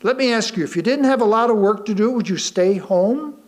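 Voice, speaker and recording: An American man reads a sermon aloud to a congregation, speaking deliberately with pauses for emphasis and rhetorical questions. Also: high-pitched. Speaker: lay reader delivering a sermon.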